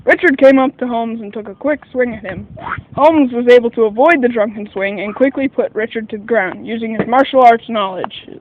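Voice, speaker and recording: Man acting a very high-voiced detective's sidekick. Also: brisk. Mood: narrating. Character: earnest male detective's sidekick narrating their case. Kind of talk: scripted radio play narration. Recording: dull lo-fi microphone, faint noise.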